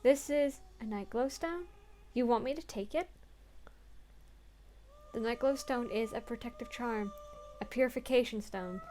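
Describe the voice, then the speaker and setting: A young woman playing through a story game, reading the text aloud earnestly and breaking off into crude, swearing jokes. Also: fairly high pitch.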